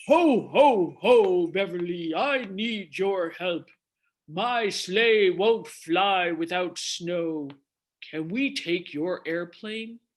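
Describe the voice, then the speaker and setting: Lady doing a jolly old father christmas type for a kids story, big hearty voice, slow n emphatic. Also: on the low side. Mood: hearty, pleading.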